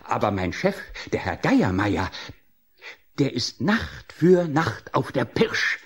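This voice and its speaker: An actor playing a chatty, lonely, overly eager graveyard worker in a theatrical, giggly, gushing manner for a radio play. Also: male voice, medium pitch, very animated, slow, husky, full character voice. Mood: confiding, coaxing. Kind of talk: German radio play acting.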